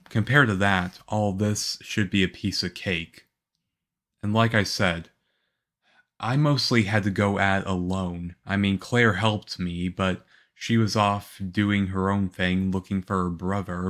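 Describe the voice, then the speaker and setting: A man playing a calm, reassuring young government agent in an ASMR roleplay, speaking softly. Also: sits low. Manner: soft-spoken, unhurried, reassuring.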